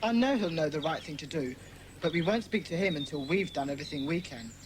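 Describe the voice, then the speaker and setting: Commanding, sensible boy club leader played by a woman, children's audio adventure, slightly low voice. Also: expressive, fast talker, noisy background. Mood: decisive. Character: bossy, sensible schoolboy leading a children's club. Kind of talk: dramatised children's audiobook acting.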